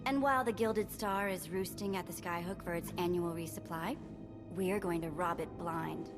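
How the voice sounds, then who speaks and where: A woman's voice: fairly low pitch, moderate pace, close to an everyday voice. A woman playing a brash young thief in a video game, with blunt, slangy, dry delivery. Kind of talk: cinematic video game voice acting. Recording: music behind.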